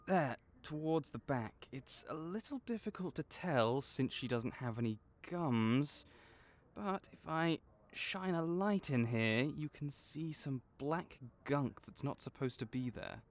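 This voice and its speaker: Man playing a slow-paced, gentle veterinarian. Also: a little high, lively intonation. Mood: concerned, explaining. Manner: measured, reassuring, thoughtful, explanatory. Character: gentle, caring male magical-creature veterinarian. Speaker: voice actor in an audio roleplay. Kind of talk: soft-spoken one-sided comfort roleplay.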